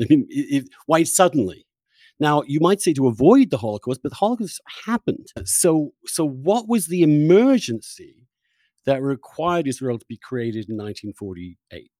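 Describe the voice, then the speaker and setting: A man's voice: mid-range voice, a little mellow, no room sound. A man hosting an interview, putting probing questions in a conversational way and insistently rephrasing them as he goes. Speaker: podcast host, interviewer.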